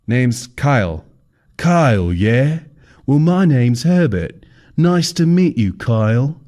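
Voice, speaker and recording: A man voicing a polite male gang leader with a British accent, speaking slowly. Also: medium pitch, sing-song, mellow, pitched above the performer's own voice.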